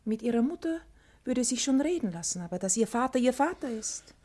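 High-voiced, scheming matchmaker. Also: female voice, animated, a little fast, dark tone. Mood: concerned. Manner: theatrical, persuasive. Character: shrewd, scheming matchmaker woman, confident and worldly. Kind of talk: classic German radio comedy acting.

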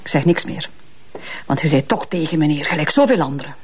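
A woman's voice: sits low, expressive, a little fast, a little mellow, husky. A woman playing an older, loyal and stubborn housekeeper with a Flemish accent in a TV drama, curt and reproving. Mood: loyal, indignant. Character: stubborn, loyal old female housekeeper, prim and indignant. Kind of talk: naturalistic TV drama dialogue.

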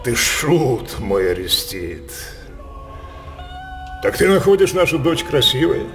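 An actor playing a decadent, aging king who is sensual and imperious, declaiming verse slowly in a radio drama. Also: medium pitch, very animated, a bit bright, faint music behind, full character voice. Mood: imperious, scheming.

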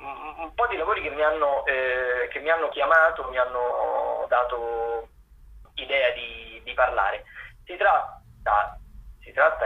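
A man's voice: medium pitch, vocal fry, a little hiss or hum. A man speaking as a theatre correspondent, running through event listings in a hesitant, semi-improvised way, repeating himself as he searches for words. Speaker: theatre correspondent on a radio show. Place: calling in to a radio programme by phone. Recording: narrow, tinny phone line.

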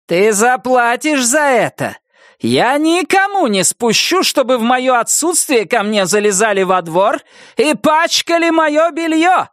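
A woman's voice: sits high, sing-song, no echo, studio quality, voice pushed up. A woman doing a seething, spiteful phone caller. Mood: seething threatening. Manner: slow, deliberate, seething menace. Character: spiteful, furious middle-aged woman on the phone. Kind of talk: audiobook character voice.

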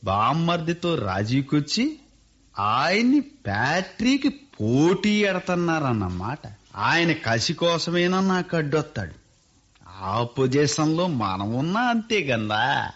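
An actor playing a shrewd, earthy middle-aged village landowner in a radio play, with colloquial coastal Andhra speech. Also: male voice, on the high side, big pitch swings, takes it slowly, fairly bright tone, full character voice. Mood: sly, amused. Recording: dull lo-fi radio recording.

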